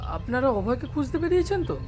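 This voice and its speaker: A man's voice: very high voice, sing-song, fast talker. A man playing a frightened, agitated young man in an audio ghost drama, urgent and insistent. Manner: urgent, insistent, breathlessly pleading. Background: prominent background music.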